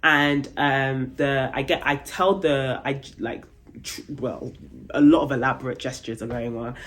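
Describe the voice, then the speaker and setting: A young adult woman vlogging about her travels, telling a story casually and acting out the dialogue with big jumps in pitch. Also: fairly even intonation, a little slow. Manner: chatty, many fillers like "like" and "um".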